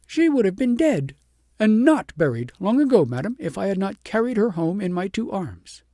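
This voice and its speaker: A storybook reader voicing a humble shepherdess who pleads in a high, tearful voice. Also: very animated, brisk, vocal fry, mellow, no room sound, clean studio sound.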